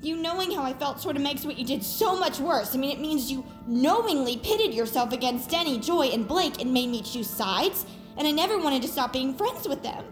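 A woman performs a fed-up, emotional teenage girl in a very high voice. The delivery is earnest and exasperated, as in audio drama, with echo and music underneath. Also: animated, normal speed. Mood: hurt, earnest. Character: fed-up, conflict-avoiding teenage girl finally speaking her mind.

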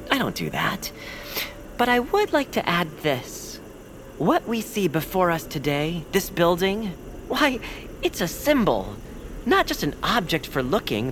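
A woman's voice: medium pitch, a bit bright, slight background noise. A woman voicing a timid, earnest, wordy young bird creature in a cartoon audio drama.

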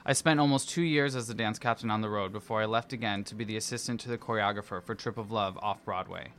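A man's voice: fairly low pitch, steady tone, fast, a bit bright, slight background noise. A man reading his personal essay aloud in a theatrical, playful, self-deprecating manner. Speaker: musical theatre performer and dance captain.

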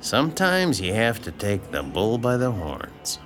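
An actor playing a blunt, folksy small-town regular in an audio drama, with a wry manner. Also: male voice, lowish voice, huge pitch swings, measured pace, a bit bright, faint music behind, heavily acted.

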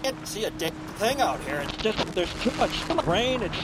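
A man's voice: high-pitched, sing-song, normal speed, very noisy. An actor playing a flustered, defensive middle-aged father in an audio drama, agitated and prone to stammering. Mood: panicky. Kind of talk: naturalistic audio drama acting.

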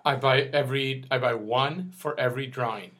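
A middle-aged dad talking in a relaxed way on a family podcast. He brags about himself and defends his habits with playful confidence, answering questions from his kids. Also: medium pitch, normal speed. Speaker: father, podcast co-host. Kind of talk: casual family chat.

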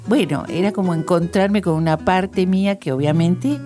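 A woman's voice: fairly low pitch, moderate pace, mellow, soft background music. A woman, a guest telling a personal story in an interview. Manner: reflective storytelling. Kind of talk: interview conversation.

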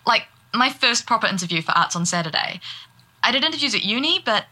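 A woman's voice: on the high side, expressive, fast talker, bright, forceful tone, exaggerated voice. An actress playing a young, nervous and eager woman reporter in naturalistic audio drama dialogue. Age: young adult. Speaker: young arts radio reporter and local guide.

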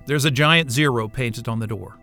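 A man's voice: mid-range voice, moderate pace, sharp tone, soft background music. A man playing a weary, foul-mouthed astrophysicist in an audio drama, with a sarcastic, grumbling manner. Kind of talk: naturalistic audio drama acting.

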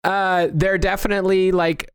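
A man's voice: high-pitched, level tone, moderate pace, clear, resonant tone, no room sound. Man talking casually, dry close sound. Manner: conversational, anecdotal. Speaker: talk show co-host. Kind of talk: casual film discussion.

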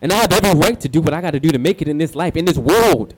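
A man's voice: a little high, expressive, very fast, slight background noise, close to an everyday voice. An actor playing a candid, streetwise young male escort as a radio show guest, with an American accent and slangy, confiding talk. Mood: defiant, angry. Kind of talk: radio play acting, slangy conversational monologue.

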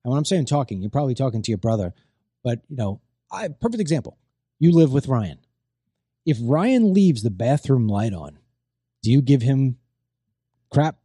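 A man's voice: mid-range voice, big pitch swings, normal speed, pauses often, warm tone, husky, dry recording. A middle-aged man hosting a casual talk show, chatty and rambling, his voice strained as it recovers from being lost. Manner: chatty, rambling, repeats himself. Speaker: host.

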